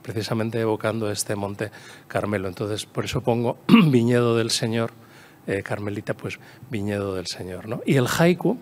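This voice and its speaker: A man's voice: fairly low pitch, moderate pace, a little mellow. A man from La Mancha with a Castilian Spanish accent giving a discursive literary lecture, repeating phrases and adding storytelling asides and little tag questions. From La Mancha, Spain. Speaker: conference speaker, poet.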